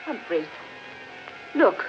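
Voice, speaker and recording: A woman's voice: very slow, soft background music. An actress playing a weary, frightened woman in a 1940s radio horror play, with a dramatic delivery. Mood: alarmed. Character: weary, frightened woman fleeing a cursed forest. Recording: dull 1940s radio recording.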